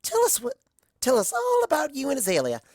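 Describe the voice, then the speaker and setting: Woman doing bubbly chatty cartoon girl pony, high voice, gushing run-ons, super nosy. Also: wildly swooping pitch, fairly quick, gravelly, darkish tone, no room sound, clean studio sound, full character voice. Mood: eager, nosy.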